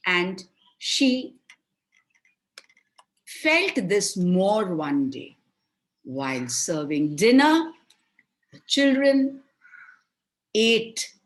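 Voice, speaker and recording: A woman telling a children's fairy tale with expressive, explanatory delivery and deliberate emphasis. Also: on the low side, poor audio. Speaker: storyteller.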